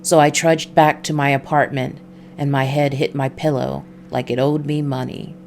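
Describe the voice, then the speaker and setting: A woman voicing a weary, hard-boiled male detective, narrating a noir visual novel in a measured, brooding way. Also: low voice, little pitch movement, a little mellow, a little hiss or hum.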